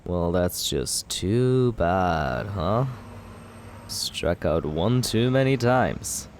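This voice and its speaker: A man's voice: low-pitched, very animated, extremely slow, a little hiss or hum, heavily acted. A young man playing a cocky male villain who courts the listener in an ASMR roleplay, teasing and laid-back as he justifies himself.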